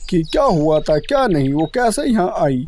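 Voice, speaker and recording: High-pitched man narrating a children's story. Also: sing-song, normal speed, background noise.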